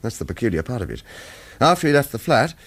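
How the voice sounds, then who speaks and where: An actor playing a guarded, stoic ex-government assassin on the run, with clipped, understated delivery in a radio thriller. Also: male voice, medium pitch, big pitch swings, fast talker, breathy edge, no echo. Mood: wry, resigned. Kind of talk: radio thriller acting with first-person narration.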